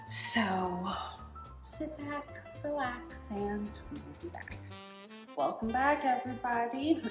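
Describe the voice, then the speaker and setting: A woman's voice: on the high side, echoey room. A woman hosting her own home cooking show, chatting casually through a recipe with filler words and asides.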